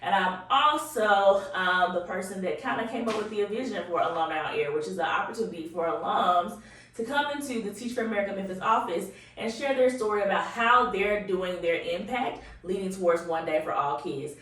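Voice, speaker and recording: A woman's voice: mid-range voice, a little fast, fairly bright tone. A woman hosting an interview show, upbeat and effusive as she introduces and questions her guest, recorded in an office. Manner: enthusiastic, effusive. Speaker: host. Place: office room. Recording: echoey room, distant mic.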